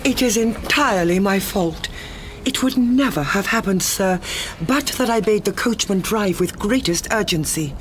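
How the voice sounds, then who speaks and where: A woman's voice: medium pitch, animated, moderate pace, lightly acted. Grave, mysterious noblewoman amid noise, played by an actress. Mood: grave, urgent. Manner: formal, grave, theatrical. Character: imperious, mysterious noble mother in urgent haste. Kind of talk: gothic period audio drama acting. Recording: clearly audible background noise and ambience.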